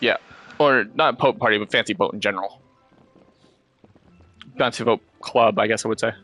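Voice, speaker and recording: A man's voice: lowish voice, normal speed, bright, forceful tone, no room sound, faint music behind. A man chatting casually over gameplay, explaining things to a fellow player step by step. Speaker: gaming stream companion explaining the game.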